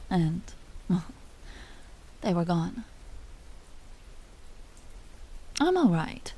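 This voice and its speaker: A woman playing an elegant vampire duchess in an audio roleplay, speaking softly with formal, languid, teasing courtesy and an amused, predatory air. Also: medium pitch, a little hiss or hum, natural voice. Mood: wistful, sheepish. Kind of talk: dramatic roleplay audio performance.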